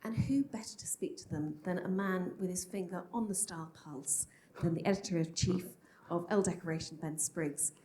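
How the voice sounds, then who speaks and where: A woman's voice: on the low side, brisk, mellow. A woman, hosting the event, opening with polite welcomes and housekeeping. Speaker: event host. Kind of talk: opening announcement. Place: event hall. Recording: roomy hall sound, faint noise.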